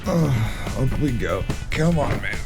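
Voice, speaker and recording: A man playing a weary, sardonic investigator in a scripted cyberpunk noir audio drama, telling his story in a gravelly, cursing voice. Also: medium pitch, lively intonation, takes it slowly. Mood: strained, indignant. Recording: music bed behind the voice.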